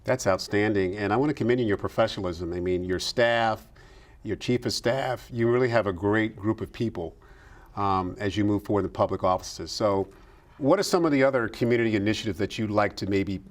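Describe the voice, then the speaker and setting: A man hosting a casual interview show, effusive and quick to affirm his guest. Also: lowish voice. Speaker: talk show host.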